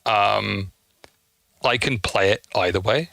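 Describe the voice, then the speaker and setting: A man's voice: lowish voice, takes it slowly, creaky, sharp tone, no room sound. A man giving casual, chatty gameplay commentary, explaining things as he goes in an enthusiast's asides. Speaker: gameplay streamer.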